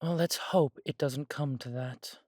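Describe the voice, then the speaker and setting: A man playing a humble, self-doubting immortal elf who runs a coffee shop in a fantasy audio drama, talking in a gentle, chatty way. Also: on the high side, unhurried, no echo, clean studio sound. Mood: light, teasing.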